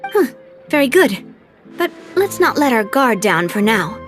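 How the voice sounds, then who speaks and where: An actress voicing a poised, earnest young woman who leads an organization in a game cutscene, with a refined, measured, sincere manner. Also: on the high side, sing-song, music in the background. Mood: bashful, cautious. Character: poised young lady leading a crime-fighting organization, earnest and polite. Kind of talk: video game cutscene voice acting.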